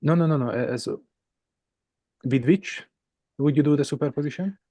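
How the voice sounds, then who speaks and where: Mellow Hungarian-accented man explaining puzzles. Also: on the high side, moderate pace, no echo. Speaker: game teacher and presenter.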